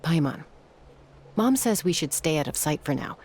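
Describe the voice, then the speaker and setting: An actress voicing a calm, resolute young woman in a game, steady and earnest. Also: low-pitched, moderate pace, a little hiss or hum. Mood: serious. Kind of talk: game dialogue.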